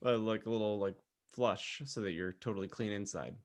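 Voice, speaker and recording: A man's voice: lowish voice, a little fast, crowd noise. A man co-hosting a podcast in casual, joking conversation. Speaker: podcast co-host.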